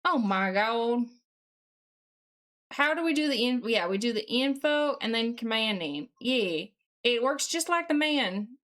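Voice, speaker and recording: A woman's voice: fairly high pitch, lively intonation, unhurried, a bit bright. A woman with a put-on Southern US accent, chatting casually as a live streamer in a folksy, mildly sweary way while working at a computer.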